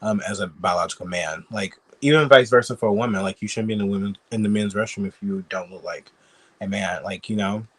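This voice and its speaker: A man with a somewhat low voice talks casually and bluntly, peppering his speech with fillers and swearing. He sounds sick and sniffly throughout. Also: a little fast, husky. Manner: chatty, blunt, swears freely. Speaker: YouTube commentator. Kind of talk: casual reaction commentary.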